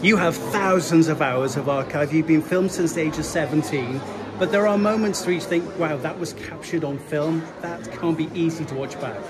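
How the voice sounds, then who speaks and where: A man's voice: a little high, moderate pace. A man working as a radio interviewer, conversational and complimentary in his questions at a launch event. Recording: loud crowd and background noise.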